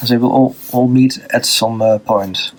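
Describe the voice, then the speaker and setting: A man from Belgium with a Dutch accent, teaching a drawing lesson off the cuff, pausing often with fillers and restarts while he draws. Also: mid-range voice, steady tone, poor audio. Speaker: art teacher. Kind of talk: unscripted drawing tutorial.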